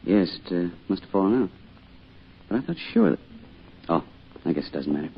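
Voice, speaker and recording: A man's voice: sits low, sing-song, a little fast, vocal fry, husky, full character voice. An actor playing a subdued, grieving middle-aged father and small-town shopkeeper, plain-spoken and hesitant, in an old-time radio drama. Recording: muffled old radio recording, music behind.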